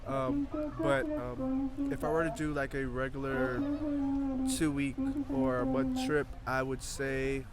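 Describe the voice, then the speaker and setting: A man's voice: on the high side, sing-song, measured pace, gravelly, heavy background noise. A man giving casual, slightly hesitant answers as an interviewee outdoors, thinking aloud as he talks. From born in Florida, of Jamaican background. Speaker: interviewee, English teacher. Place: outdoors at a snow festival.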